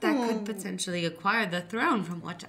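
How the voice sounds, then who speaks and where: A woman's voice: medium pitch, lively intonation, brisk, a bit bright. A woman playing a dry, polite, scheming younger sister of a noble house in an improvised radio play, hesitant and mock-formal. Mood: conspiratorial.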